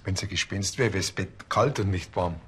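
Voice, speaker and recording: Low-voiced deadpan policeman played by a man. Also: normal speed, background noise, close to an everyday voice. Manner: sardonic, deadpan. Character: needling, stubbornly literal male small-town policeman. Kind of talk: TV comedy crime series acting.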